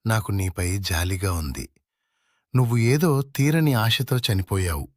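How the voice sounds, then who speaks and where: Guy playing poor village woodcutter in a cartoon story, low voice, earnest but crafty, dry recording. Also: lively intonation, a little slow, dry recording. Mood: pleading. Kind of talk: dramatized cartoon moral tale.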